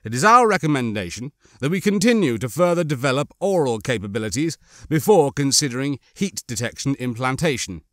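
A man's voice: mid-range voice, big pitch swings, a little fast, a bit bright, no echo, clean studio sound. A man narrating a horror novel as an audiobook, reading a dramatic scripted story.

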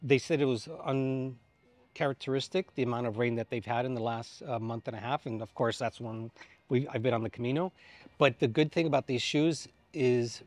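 A man's voice: medium pitch, steady tone, normal speed, a little mellow, no room sound. Man in his fifties, dry outdoor interview. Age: 50s. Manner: rambling, self-correcting, laughs easily. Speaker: interviewee, pilgrim. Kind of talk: casual interview answers. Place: outdoors in a sunny old town square. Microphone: lapel mic.

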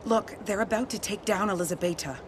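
A woman's voice: medium pitch, brisk, a little hiss or hum, lightly acted. An actress playing a composed young American woman, an undercover government agent, in a video game. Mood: firm, regretful. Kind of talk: video game cutscene acting.

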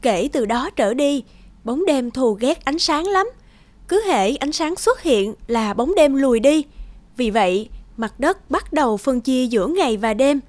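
A woman's voice: high voice, animated, unhurried, darkish tone, dry recording. A woman narrating a scripted fairy tale as its storyteller.

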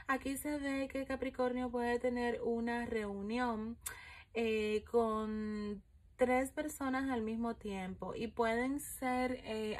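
Chatty woman giving a cup reading. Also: on the high side. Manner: chatty, many fillers, frequent "¿ok?" check-ins. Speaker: coffee-ground and tarot reader. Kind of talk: casual spiritual reading monologue. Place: home room with candles and an altar, indoors. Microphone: phone camera over the table, speaker off screen.

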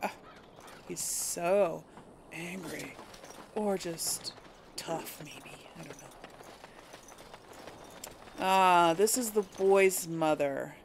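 Woman, gaming host, unhurried, vocal fry, casual musing commentary, game noise behind. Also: lowish voice. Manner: musing aloud, chatty to viewers. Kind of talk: casual let's play commentary. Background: video game ambience and effects.

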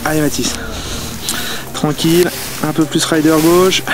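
A young man commenting on friends' ski competition runs outdoors on a mountain, animated and teasing, recorded on an action camera. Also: on the high side, unhurried. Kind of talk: excited live commentary. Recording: music playing underneath.

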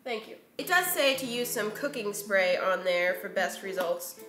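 A woman's voice: mid-range voice, lively intonation, normal speed, fairly bright tone. A woman in her twenties hosting a casual, chatty baking vlog, with a self-deprecating, playfully exasperated way of talking. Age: 20s. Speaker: host of a baking video series. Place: home kitchen, indoors. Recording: roomy kitchen echo. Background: background music.

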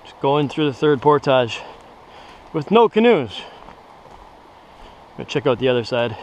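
A man's voice: medium pitch, big pitch swings, a little slow, darkish tone, dull audio. A man talking casually as a vlogger on an outdoor trip. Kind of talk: casual chat on a canoe trip. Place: outdoors on a river and forest trail. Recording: outdoor action camera, faint background noise.

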